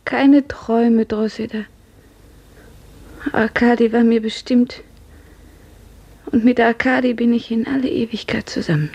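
A woman playing a dreamy, grief-numbed young serf in a 1950s radio drama, hushed, breathy and mournful. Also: fairly high pitch, fairly even intonation, measured pace, long pauses, dull audio. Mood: dreamy devotion. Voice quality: breathy, half-whispered.

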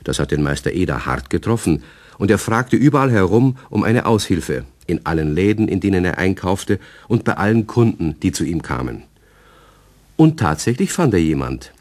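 A radio-play storyteller with a low voice and a lively melody. He narrates a children's tale in an expressive, scripted style. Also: heavily acted.

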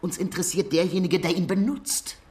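An actor playing a blunt, needling police detective in a German radio crime drama, pointed and accusing. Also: male voice, high voice, a little fast, a little mellow, close to an everyday voice. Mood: insistent.

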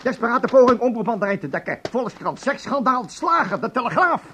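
An actor playing a furious, bullying broadcasting boss in a Dutch radio drama, indignant and theatrical. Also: male voice, very high-pitched, big pitch swings, brisk, bright, forceful tone, slight background noise, full character voice. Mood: scornful, indignant. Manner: theatrical, indignant, scornful, reading out with biting emphasis.

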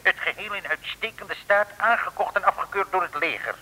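A fussy, officious civil servant in a vintage comic radio play. His voice is highish and penetratingly bright, with a formal, pedantic delivery. It sounds like an old radio recording with a long reverb. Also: brisk, full character voice. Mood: dryly officious. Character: pedantic, officious government supply clerk. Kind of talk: 1950s-60s Dutch comic radio play acting.

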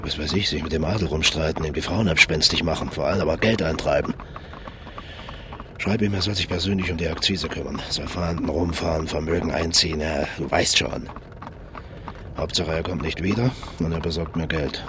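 A man's voice: rumbling low, fairly quick, background noise. A man playing an amorous, imperious king in a radio drama, seductive, theatrical and commanding. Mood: scheming, smitten. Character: amorous, imperious baroque king, flattering and scheming.

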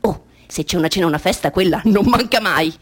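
A woman's voice: on the low side, fast talker, breathy edge. An actress playing a precocious, troubled little girl with naive, matter-of-fact bravado in a radio play monologue. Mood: defiant, breezy.

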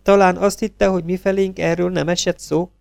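Sneering young secretary gal done by a man, higher voice, dry close sound. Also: flat delivery, creaky, a little mellow, no room sound. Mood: mocking. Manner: mocking, scornful. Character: sharp-tongued young woman secretary, mocking.

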